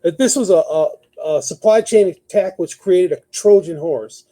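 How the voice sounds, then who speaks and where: Higher-voiced man hosting a news talk show. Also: a little slow, mellow. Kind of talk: conversational news commentary, partly read from notes.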